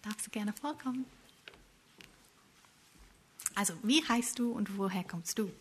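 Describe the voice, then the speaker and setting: A woman's voice: mid-range voice, lively intonation, a little fast, slight background noise. Woman, event moderator, friendly announcer, many fillers. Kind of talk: moderating a prayer session.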